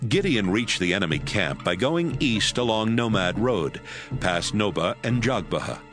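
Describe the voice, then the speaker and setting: A male narrator with a fairly low voice reads a scripted, dramatized scripture story. Music plays clearly behind him throughout. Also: sing-song, normal speed.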